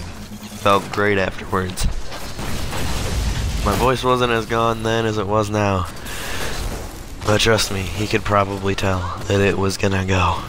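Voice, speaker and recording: Male gaming host, hoarse and straining, voice cracking, low flat delivery, slow, noisy recording. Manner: clears throat often, voice cracks. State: losing his voice, hoarse and strained. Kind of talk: casual voiceover over gameplay.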